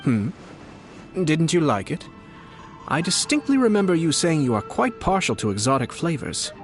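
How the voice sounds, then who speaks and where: An actor playing a composed, shrewd young nobleman in a game, courteous and measured, with polished formal speech and a quietly scheming, teasing air. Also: on the high side, big pitch swings, soft background music. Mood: gently amused. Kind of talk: scripted game dialogue.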